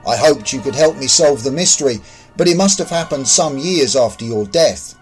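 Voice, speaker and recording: A man voicing a calm, courteous alien visitor with a measured, explanatory manner in a science fiction story. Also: mid-range voice, faint music behind, close to an everyday voice. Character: calm otherworldly visitor of indeterminate sex, puzzled, courteous.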